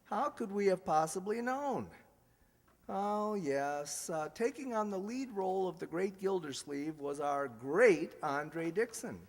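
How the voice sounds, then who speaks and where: A man's voice: sits high, animated, a little slow. A man announcing a live old-time radio style show as its host, in a jokey, ad-libbing manner. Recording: echoey hall with background hiss.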